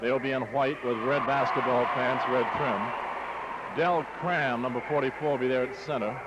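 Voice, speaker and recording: A man's voice: mid-range voice, fairly even intonation, moderate pace, muffled recording. Male sportscaster on noisy 1960s radio. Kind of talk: live basketball play-by-play commentary. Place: courtside in an exposition hall.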